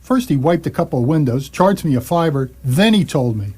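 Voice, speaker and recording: A man's voice: fairly high pitch, expressive, fairly quick, darkish tone, breathy edge. A man playing an indignant, stubborn and sarcastic homeowner in a comic radio play, with a dry delivery.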